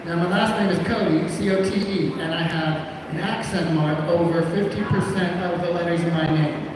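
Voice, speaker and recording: Rambling comedian in an echoey club. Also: male voice, fairly high pitch, steady tone, background noise. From Florida. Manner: rambling, self-mocking asides with filler pauses. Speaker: stand-up comedian. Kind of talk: stand-up comedy set. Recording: echoey room audio from a distant mic.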